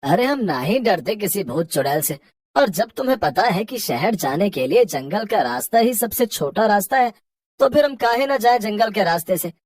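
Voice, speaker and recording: A woman voicing an excitable, boastful village husband with a rustic Hindi accent in a horror cartoon. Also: mid-range voice, moderate pace, slightly rough, no echo, clean studio sound. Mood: cocky. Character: boastful village husband, cocky but easily terrified. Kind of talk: cartoon horror story acting.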